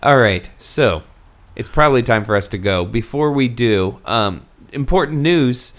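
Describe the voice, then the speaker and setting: A man's voice: mid-range voice, expressive, unhurried. A man hosting a podcast, chatting casually about books with wry jokes and easy swearing. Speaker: podcast host.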